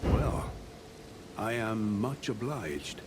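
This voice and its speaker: An actor playing a courteous, reverent fantasy follower of a demigod in a video game, speaking in formal, archaic phrases. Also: male voice, lowish voice, very animated, drawn-out words, heavy background noise, full character voice. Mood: courteous, reflective. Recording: loud music behind the voice. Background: loud music.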